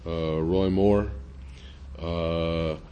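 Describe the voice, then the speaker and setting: A man's voice: sits low, fairly even intonation, drawn-out words, muffled recording, a little hiss or hum. A man speaking as a panelist at a public forum, hesitant with many fillers and restarts.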